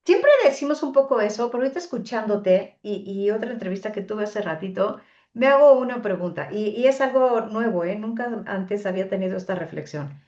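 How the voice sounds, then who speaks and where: A woman's voice: mid-range voice, animated, brisk. Enthusiastic woman in an echoey room. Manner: warm, enthusiastic, asks long probing questions. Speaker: interviewer host. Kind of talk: interview questions. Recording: roomy, slightly dull.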